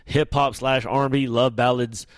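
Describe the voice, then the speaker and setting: A man hosting a loose conversation among friends, lively and slangy, his questions wandering as he thinks aloud. His voice is close and dry with almost no room sound. He sits at an ordinary middle pitch for a man. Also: moderate pace, no room sound. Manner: animated, slangy, rambling questions. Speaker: podcast host. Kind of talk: casual chat.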